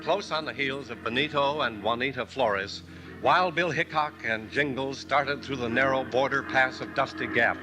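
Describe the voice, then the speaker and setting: A man narrating a 1950s radio western in a crisp, dramatic way. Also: mid-range voice, normal speed, rough recording. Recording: old radio narration over music.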